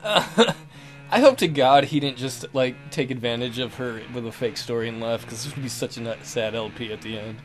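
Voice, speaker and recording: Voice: mid-range voice, a little fast, music in the background. A let's play co-host giving casual, jokey commentary and teasing banter on a visual novel.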